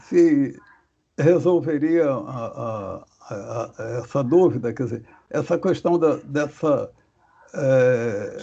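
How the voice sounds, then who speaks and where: Gravelly guy talking slow and halting on a muffled video call, keeps restarting, voice a bit high for a man. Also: a little mellow. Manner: halting, searching for words with many restarts. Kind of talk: casual religious study discussion. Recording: dull lo-fi call audio.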